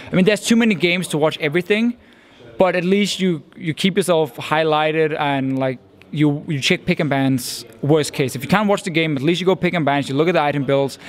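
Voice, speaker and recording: A young man with a Danish accent, an esports caster answering interview questions in an earnest, thoughtful way. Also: a little high. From Denmark. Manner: earnest, thoughtful, with frequent restarts and fillers.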